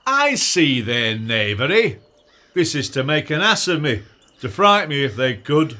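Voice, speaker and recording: An actor playing a bumbling, boastful weaver turned donkey-headed fool in a Shakespeare radio comedy, blustering and stammering with lots of oohs and uhs. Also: male voice, on the high side, very animated, measured pace, bright, forceful tone, a little hiss or hum, heavily acted. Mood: defiant bluster.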